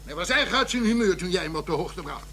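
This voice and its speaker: A man's voice: high-pitched, lively intonation, fast talker, pushed and bright, slight background noise, heavily acted. A man playing a stern, exasperated company boss in a radio drama, blunt, commanding and sarcastic.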